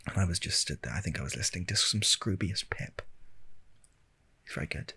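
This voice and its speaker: A British man telling a rambling anecdote in a soft, breathy ASMR style, hesitant and wryly understated, close to the microphone. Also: low-pitched, expressive, a little fast. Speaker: ASMR video creator. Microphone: handheld stereo recorder, close up.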